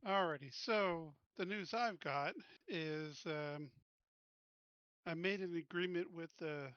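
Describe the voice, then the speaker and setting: A man's voice: fairly high pitch, takes it slowly, a bit bright, clear, resonant tone, dry recording, lo-fi sound. A man in his fifties playing a tabletop roleplaying game, chatty and self-deprecating, forgetting names. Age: 50s. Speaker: player. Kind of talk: casual chat at a game table.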